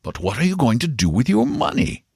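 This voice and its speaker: A man's voice: mid-range voice, huge pitch swings, a little fast, vocal fry, a little mellow, husky, no room sound, pitched above the performer's own voice, exaggerated voice. A man voicing a wry, kindly middle-aged uncle in a storybook reading, with a dry, amused manner.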